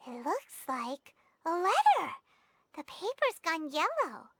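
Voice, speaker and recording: A woman voicing a tiny, childlike fairy companion in a video game, chirpy, chatty and excitable. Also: very high voice, slow pace, no room sound, heavily acted. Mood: excited, wondering. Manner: chirpy, refers to self in third person.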